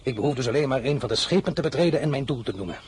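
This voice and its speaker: A man's voice: mid-range voice, animated, a little mellow, slight background noise, lightly acted. A man playing a weary, fallen emperor. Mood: brooding. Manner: measured and brooding, with bitter flashes. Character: fallen French emperor, proud, weary, bitter. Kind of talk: radio play acting.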